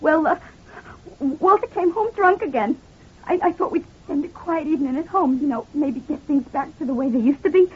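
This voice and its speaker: An actress playing a distraught, halting wife in an old radio crime drama. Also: female voice, high-pitched, natural voice. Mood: shaken. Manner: hesitant, emotional, halting. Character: distraught wife claiming she shot her abusive husband. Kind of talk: 1940s-50s radio crime drama acting. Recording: muffled, thin old-time radio recording.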